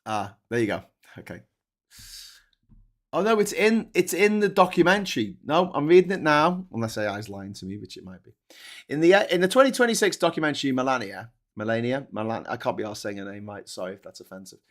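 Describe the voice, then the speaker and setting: Man, host, casual comment-reading chat, sarcastic, rambling, average pitch. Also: very animated, fairly quick.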